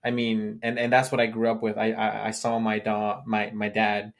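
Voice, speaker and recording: A man's voice: on the low side, completely flat delivery, gravelly. Agreeable man in casual chat. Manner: agreeable, halting, repeats words when starting. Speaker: podcast co-host.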